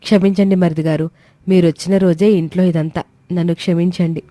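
A woman's voice: on the low side, fairly even intonation, brisk, dark tone, no room sound, natural voice. A woman voicing a meek, dutiful young daughter-in-law who is polite, deferential and composed in a family story. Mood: resolute, apologetic.

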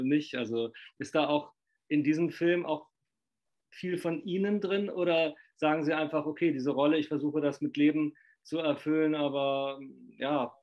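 A middle-aged man interviewing from his living room over a video call. He rambles, hedges and keeps tagging his sentences with little questions. The webcam audio is dull and lo-fi. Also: medium pitch. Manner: rambling, hedging, many fillers and tag questions.

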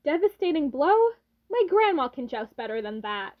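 A woman playing a cheerful, excitable sports co-commentator in a comedic radio play, with an animated announcer delivery. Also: high voice, moderate pace. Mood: quipping. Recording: dull, noisy amateur recording.

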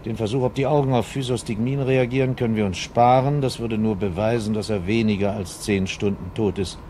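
A gruff police chief inspector played by a man in an old radio crime drama. He speaks on the low side with mocking, needling sarcasm. The vintage recording carries some faint noise. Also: steady tone, a little fast, warm tone, lightly acted. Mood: matter-of-fact. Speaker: actor in a radio crime play. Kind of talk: 1970s German radio crime drama dialogue.

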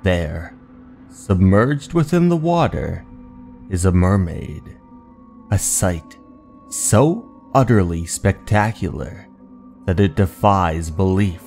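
Deep, slow male horror story narrator. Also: expressive, a little mellow. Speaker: narrator, channel host. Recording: music behind the voice.

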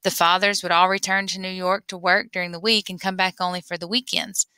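A woman reading a memoir aloud in an expressive storytelling way. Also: on the low side, a little fast, clear, resonant tone, dry recording. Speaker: reader.

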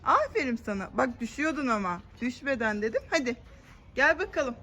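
A woman's voice: high voice, very animated, brisk, pushed and bright. A woman talking as a mother to her young child in playful casual chat, coaxing with short repeated prompts and questions. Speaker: mother hosting a family play video. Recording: music behind the voice. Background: background music.

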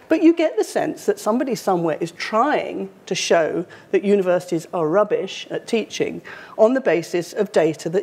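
Husky older woman lecturing. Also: mid-range voice, dark tone. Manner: conversational, wry, with frequent fillers. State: slightly croaky. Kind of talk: public lecture. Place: university lecture hall, indoors.

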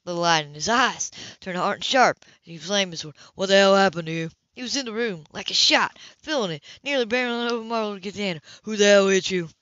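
A woman's voice: medium pitch, quick pace, few pauses, fairly bright tone, dry recording, highs cut off. A woman reading a romance novel aloud as an amateur narrator, stumbling and restarting now and then. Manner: reads with frequent slips and restarts. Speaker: audiobook reader.

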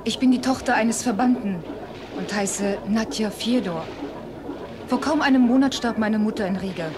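A woman's voice: on the high side, moderate pace, noisy background, close to an everyday voice. An actress playing an earnest, determined young woman in a radio play. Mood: earnest, resolute. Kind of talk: German radio play acting.

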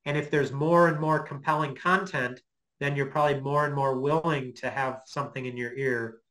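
A middle-aged man giving an informal lecture on a video call, explaining in a hesitant, halting way as he thinks aloud. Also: medium pitch, steady tone, fairly bright tone, low-quality recording. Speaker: guest lecturer. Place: small home office, indoors.

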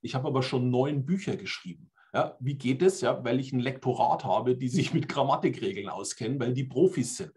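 A man's voice: medium pitch, a little fast, few pauses, a little mellow. A man in his forties from Germany explains his teaching method at an online conference. His voice sits in an ordinary middle register. He speaks over a webcam from a small room, with frequent checking-in tags. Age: 40s. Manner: explanatory, uses tag questions like "ne?". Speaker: language trainer. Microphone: webcam on video call.

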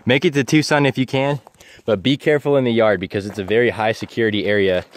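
A man's voice: medium pitch, fast talker, dry recording. A young man talking casually to viewers while hiking a desert canyon outdoors, filming himself with a camera held at arm's length. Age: young adult. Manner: relaxed, informal, explaining to viewers while hiking. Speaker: travel vlogger.

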